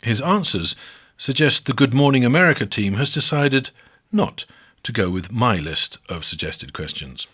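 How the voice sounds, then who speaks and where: A man's voice: mid-range voice, very animated, normal speed, fairly bright tone, husky. A man narrating a scripted first-person memoir, with a wry, mock-boastful, self-deprecating manner.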